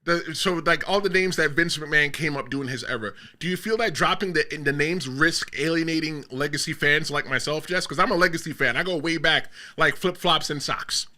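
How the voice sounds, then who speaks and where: Podcast host, man, middle-aged, high voice with a very bright edge, rambling casual storyteller. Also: brisk, few pauses. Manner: rambling storyteller, laughs loudly.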